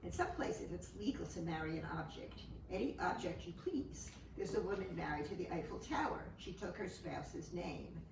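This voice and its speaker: A woman with a slightly low voice recites poetry. She sounds set back from the microphone in an echoey space. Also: expressive, normal speed, vocal fry, hardly any pauses. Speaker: poet. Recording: echoey, noisy, distant mic.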